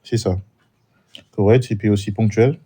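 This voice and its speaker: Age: adult. Sex: man. Origin: Martinique. Role: roleplay job applicant. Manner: hesitant, restarts often. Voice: low-pitched, dark and mellow. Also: steady tone, moderate pace, breathy edge. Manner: hesitant, many fillers and restarts. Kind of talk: in-character job interview.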